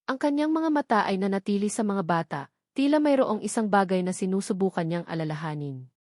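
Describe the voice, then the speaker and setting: A woman reading a scripted romance story as narrator, in a measured storytelling delivery. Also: mid-range voice, expressive, darkish tone, no echo, studio quality.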